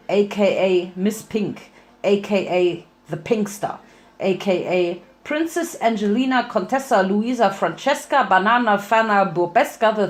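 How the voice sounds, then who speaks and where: A woman giving a playful, theatrical dramatic reading of a fan fiction story as its narrator. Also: on the high side.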